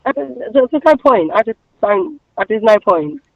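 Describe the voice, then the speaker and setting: A woman's voice: fairly high pitch, very animated, normal speed, no room sound, phone-quality sound. A woman with an East London Cockney accent talking casually as an interview guest, emphatic and given to repeating herself. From East London, England. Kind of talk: casual radio interview conversation.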